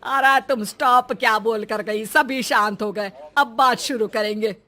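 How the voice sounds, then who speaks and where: A woman voicing an impatient older mother-in-law in a cartoon story, scolding and grumbling in drawn-out phrases. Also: on the high side, steady tone, pushed and bright.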